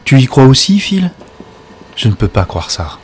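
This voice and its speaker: A man's voice: medium pitch, very animated, moderate pace, a little hiss or hum, lightly acted. An actor playing an anxious, impatient man searching for his friend in a French science-fiction audio drama. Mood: urgent, exasperated. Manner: alternates urgent dialogue with hushed introspective narration. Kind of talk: French audio drama acting with first-person present-tense narration.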